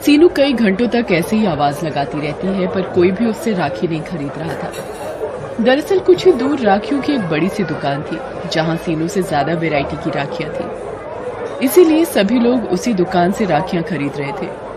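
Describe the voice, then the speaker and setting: A woman's voice: on the low side, sing-song, normal speed, a loud crowd, very noisy. A woman reading scripted narration for a cartoon story as the storyteller.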